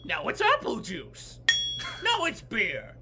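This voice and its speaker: A man's voice: extremely high pitch, wildly swooping pitch, slow pace, creaky, full character voice. A man voicing a cheerful, dim-witted, childlike martial-arts hero in a comedic anime parody dub. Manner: naive, simple, carefree. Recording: noisy audio with clicks.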